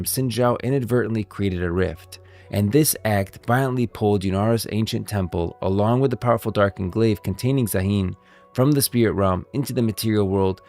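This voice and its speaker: A male streamer explains game lore. His voice is fairly low with a lot of vocal fry. He is on a close mic with soft music in the background. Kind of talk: lore commentary, part scripted, part off the cuff.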